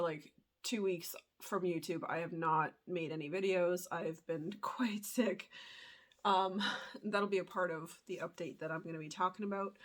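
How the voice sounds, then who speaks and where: A young adult woman with a stuffed-up voice from a cold, talking earnestly to camera in a reflective vlog with many pauses and fillers. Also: fairly low pitch, fairly bright tone. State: congested from a cold. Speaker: spiritual YouTuber.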